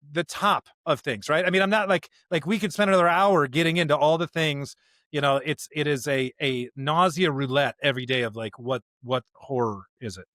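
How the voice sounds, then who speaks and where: American guy podcast host, thinking out loud, stops and restarts, medium pitch, really dry recording. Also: no room sound. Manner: halting, searching delivery with repeated words. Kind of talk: reflective discussion of scripture and current events.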